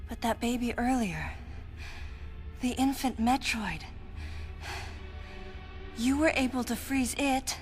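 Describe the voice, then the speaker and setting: An actress playing a serious, questioning soldier heroine in a sci-fi game, with a restrained, earnest delivery. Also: female voice, on the high side, slow, pauses often, a little mellow, soft background music. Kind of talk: dramatic video game cutscene dialogue.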